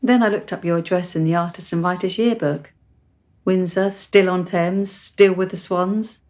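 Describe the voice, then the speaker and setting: A woman's voice: on the low side, fairly even intonation, normal speed, a little mellow, natural voice. Woman playing a composed, precocious teenage girl. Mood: matter-of-fact. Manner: composed, articulate, matter-of-fact. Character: precocious, self-assured teenage English girl. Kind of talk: radio play acting.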